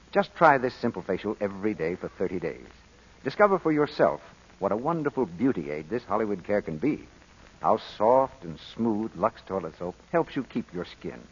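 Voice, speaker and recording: A man announcing a vintage radio advertisement with a polished sales pitch. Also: slightly rough. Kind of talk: 1930s radio ad read. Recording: dull old radio recording.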